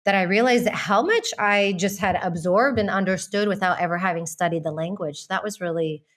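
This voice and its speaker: A woman's voice: medium pitch, brisk. Woman in her 30s from texas, guest on a chat podcast, candid and reflective, laughs easy. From Texas, United States. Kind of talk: casual interview chat.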